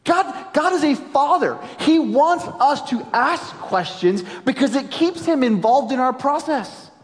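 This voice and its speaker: A man in his forties preaching a sermon as a pastor, animated and jokey, dropping suddenly to quiet emphasis. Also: very high-pitched, measured pace. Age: 40s. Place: large church auditorium. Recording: long hall reverb.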